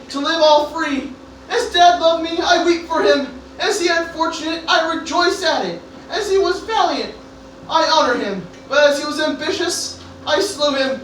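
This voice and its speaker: A heavily echoing, distant amateur radio play recording. A woman plays an anxious teenage boy, bright and very high, earnestly orating like a Shakespearean assassin pleading his cause. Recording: very echoey, distant room, faint noise.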